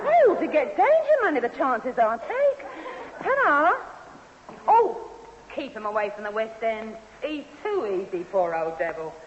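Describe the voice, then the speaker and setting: A woman's voice: fairly high pitch, big pitch swings, a little slow. A streetwise London good-time girl, cheeky and hard-nosed. Her delivery swings up and down in an old radio sitcom. The sound is dull and echoing, with faint noise. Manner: cheeky, blunt. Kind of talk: British radio sitcom acting.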